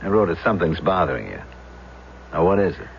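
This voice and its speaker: Animated, vain small-town fire chief. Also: male voice, deep voice, fast, vocal fry, heavily acted. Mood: pressing, irritated. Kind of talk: 1970s radio drama acting. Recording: dull, noisy old radio recording with hum.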